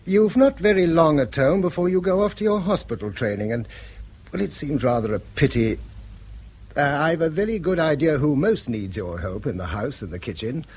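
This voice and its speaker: A man's voice: a little high, lively intonation, moderate pace, a little mellow, breathy edge. An actor playing an earnest, severe middle-aged English father who moralises formally and is easily exasperated, in a British radio drama. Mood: awkward, earnest.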